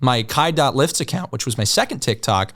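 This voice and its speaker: A man's voice: medium pitch, animated, brisk, a bit bright. Laid-back young man, joking guest. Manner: joking, laughs between lines. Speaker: podcast guest. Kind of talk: casual chat.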